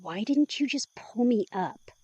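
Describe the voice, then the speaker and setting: A woman's voice: a little high, lively intonation, unhurried, warm tone, slightly rough, no room sound, highs cut off. A woman playing an anxious newly turned vampire. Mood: earnest. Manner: anxious, exclamatory. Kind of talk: audio drama acting.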